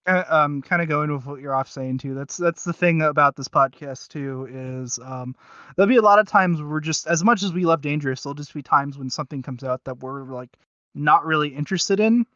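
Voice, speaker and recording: A man's voice: on the high side, a little fast, sharp tone, dry recording, dull audio. A man co-hosting a podcast, chatting casually and off the cuff in a hesitant, rambling way full of filler words. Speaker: podcast co-host. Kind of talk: casual unscripted review chat.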